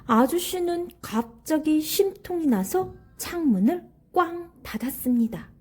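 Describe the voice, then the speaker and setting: A woman's voice: sits high, expressive, a little slow, a little mellow, faint music behind. A woman narrating a children's story expressively, in a careful, teacherly way.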